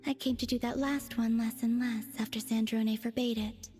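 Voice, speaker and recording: A woman acts a young game character with a lightly high voice. She is detached and wistful, pausing pensively in an understated way over soft music. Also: level tone, natural voice. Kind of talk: video game voice acting.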